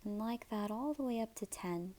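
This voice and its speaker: Soft, mellow-voiced woman guiding yoga. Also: mid-range voice, normal speed. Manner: soft, soothing, breathy at phrase ends. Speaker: yoga and meditation teacher. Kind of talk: guided meditation and gentle yoga instruction.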